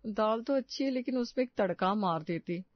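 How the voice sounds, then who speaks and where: A man's voice: sits high, expressive, normal speed, no room sound, lo-fi sound. A man voicing a nitpicking, snide older female relative in a cartoon story. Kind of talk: cartoon moral story voice acting.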